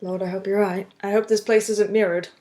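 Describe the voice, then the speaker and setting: A woman's voice: mid-range voice, little pitch movement, a little fast, mellow. A woman playing a tabletop roleplaying game and making wry, dry jokes. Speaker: podcast co-host and player. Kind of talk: casual roleplay chat.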